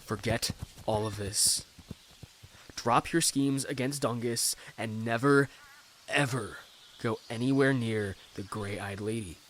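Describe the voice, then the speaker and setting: A man's voice: medium pitch, slow pace, a little hiss or hum, close to an everyday voice. An actor playing a cautious, exasperated man in an audio drama, emphatic, alarmed and scolding. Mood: stern, afraid. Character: skittish, cautious, exasperated male townsperson. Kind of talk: scripted audio drama acting.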